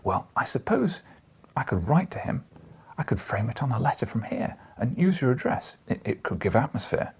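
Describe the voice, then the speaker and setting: A man's voice: medium pitch, very animated, normal speed, darkish tone, slightly rough, heavily acted. A man voicing an eager, polite young newspaper reporter in an audiobook, earnest and a little hesitant. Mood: pleading. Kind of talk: audiobook character dialogue.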